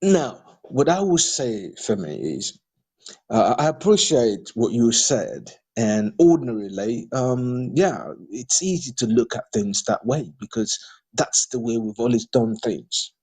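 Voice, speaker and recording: A man's voice: mid-range voice, animated, measured pace, darkish tone, husky. A man from Nigeria, speaking for a political party in an audio discussion, hesitant with many fillers and restarts but growing emphatic when criticising politicians. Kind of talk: audio discussion replying to a participant.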